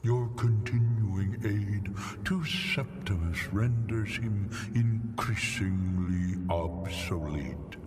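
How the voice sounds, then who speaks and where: Casting: all-knowing male demon god for a game. Lowish, pompous, menacingly drawn-out, drenched in long reverb. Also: a little mellow. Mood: ominous, haughty. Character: vast, ancient male eldritch demon lord, haughty, all-knowing. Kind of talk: video game character acting. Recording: long cavernous reverb over game music.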